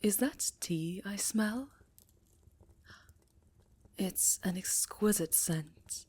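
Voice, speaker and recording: A woman playing an elegant, affectionate yet guarded vampire countess in intimate roleplay ASMR, with a formal, theatrical, breathy murmur. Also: lowish voice, darkish tone. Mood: affectionate, teasing. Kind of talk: roleplay ASMR acting, intimate address to listener.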